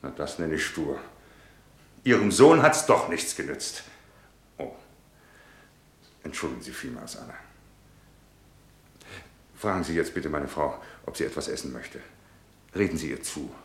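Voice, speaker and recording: An actor playing a distraught, brooding father in a German radio drama, halting and ruminating with bitter irony. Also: very deep voice, big pitch swings, exaggerated voice. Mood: agitated, weary. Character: distraught, brooding father awaiting news of his son. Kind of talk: German radio drama acting with inner monologue.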